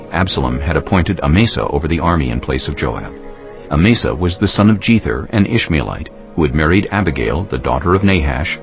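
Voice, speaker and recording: A man narrating scripture as a dramatic audio production, in a solemn, deliberate storytelling manner. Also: extremely low pitch, muffled recording. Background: music.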